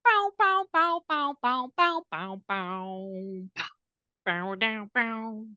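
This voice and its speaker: A woman's voice: fairly high pitch, lively intonation, very slow, sharp tone, no echo, lo-fi sound. A woman co-hosting a casual comedic book chat, playful and jokey, with some swearing. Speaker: podcast co-host.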